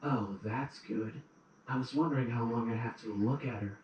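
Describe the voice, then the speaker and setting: A man acts out a disdainful changeling in an audio drama. He grumbles with suspicion in a medium-pitched voice. Heavy echo and faint noise surround him at a distance. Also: moderate pace, darkish tone, highs cut off, natural voice. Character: hostile, suspicious male changeling. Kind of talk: fan audio drama acting.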